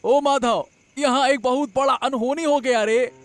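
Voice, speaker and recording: Rustic elder brother: simple, excitable, plaintive, very high voice, storybook melodrama. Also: male voice, unhurried. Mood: plaintive alarm. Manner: plaintive, folksy, colloquial. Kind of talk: melodramatic storybook audio drama.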